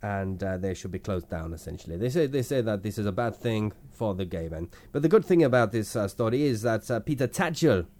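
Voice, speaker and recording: Man putting on a foreign stand-in producer, thick fake accent, halting, lowish voice, hesitant. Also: expressive, darkish tone, lightly acted. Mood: rambling. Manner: halting, full of fillers. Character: odd foreign male stand-in producer, vague and blunt. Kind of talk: improvised comedy podcast character.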